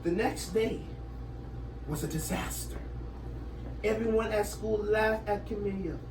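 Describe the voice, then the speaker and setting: A woman teacher doing an expressive, interactive storybook read-aloud, echoing answers back as questions. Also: mid-range voice, unhurried. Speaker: teacher reading aloud to children. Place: echoey room. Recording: echoey room, distant mic, background noise.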